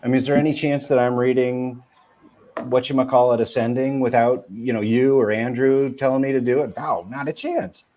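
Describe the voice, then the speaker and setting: A man interviewing a guest in a relaxed, enthusiastic way, with many fillers and quick questions. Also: mid-range voice. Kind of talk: casual chat.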